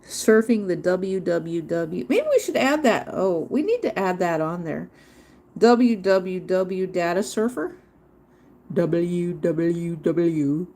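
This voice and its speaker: Woman host for a casual drawing livestream: slow, drawn-out delivery and a lowish voice. She chats freely, wanders off topic and spells words out letter by letter. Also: expressive, mellow. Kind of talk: rambling casual livestream chat.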